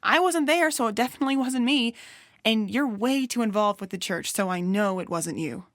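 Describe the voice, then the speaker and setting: A woman's voice: a little high, normal speed, no room sound, clean studio sound, lightly acted. A woman playing a bold, flippant pony novelist in an audio drama, speaking in a teasing, wry way. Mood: insistent.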